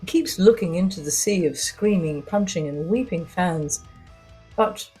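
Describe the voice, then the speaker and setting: A woman narrates first-person fiction in a mellow, relaxed voice that sits on the low side. Her delivery is scripted and deliberate, with a dramatic storyteller's touch. Faint music plays behind her. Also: breathy edge, poor audio.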